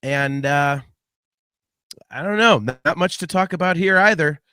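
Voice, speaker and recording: A man hosting a sports podcast solo, giving blunt, opinionated commentary in a casual, halting way with some mild swearing. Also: fairly high pitch, pushed and bright, clean tone, dry recording, clean studio sound. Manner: blunt, opinionated, mildly profane.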